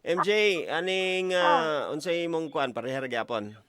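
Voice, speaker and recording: A man's voice: fairly high pitch, animated, normal speed. A man with a Visayan Filipino accent hosting a radio call-in show in a joking, theatrical, bantering way. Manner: joking, theatrical, acts out dialogues. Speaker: radio show host taking calls. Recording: loud music bed. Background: music.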